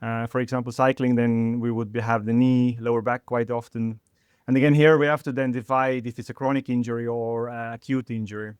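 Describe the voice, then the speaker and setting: Middle-aged guest, clear tone, halting and full of restarts. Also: male voice, medium pitch, flat delivery, dry recording. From Estonia. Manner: halting, with many fillers and restarts. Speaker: sports chiropractor guest. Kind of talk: podcast interview.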